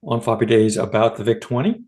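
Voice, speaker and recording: A man's voice: medium pitch, expressive, a little fast, darkish tone. A middle-aged American man, a podcast host, talking informally in a casual discussion. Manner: informal, conversational.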